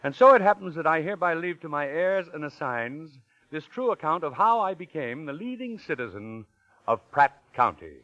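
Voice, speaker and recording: An actor playing a sly, wordy old crook with a pompous, florid manner in an old radio drama. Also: male voice, fairly high pitch, very animated, moderate pace. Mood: wry, confiding. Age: older. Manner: pompous, florid, flustered when challenged. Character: sly, wordy, self-confessed crooked old con man. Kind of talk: 1940s radio drama acting. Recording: dull vintage radio recording, loud music.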